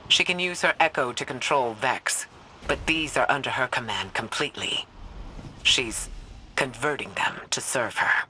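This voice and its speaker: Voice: mid-range voice, unhurried, sharp tone, slight background noise. An actor playing a serious, worried ally giving a briefing in a video game, earnest and concerned.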